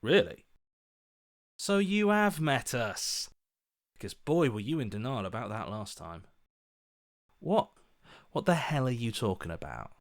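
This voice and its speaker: A man acting an earnest, wry hero's inner voice in a video game, with an animated, emphatic delivery. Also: medium pitch, a little slow, long pauses. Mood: indignant, surprised. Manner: animated, sarcastic, exasperated, emphatic. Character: earnest, wry young male hero as an inner voice.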